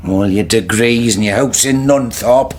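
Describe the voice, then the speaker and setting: An actor playing a blunt, defensive working-class older brother in a radio drama. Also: male voice, fairly low pitch, a little slow, close to an everyday voice. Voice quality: blunt, combative.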